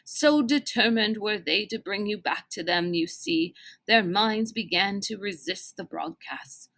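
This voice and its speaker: A woman reading aloud as a formal, kindly older gentleman mentor who speaks in deliberate, old-fashioned phrases. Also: high-pitched, creaky, full character voice. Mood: earnest explaining.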